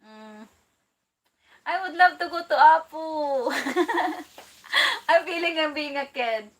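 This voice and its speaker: Filipina woman chatting on a livestream, fairly high voice, excitable, laughs a lot. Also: takes it slowly. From Philippines. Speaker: livestream host. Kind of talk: casual livestream chat reading viewer comments.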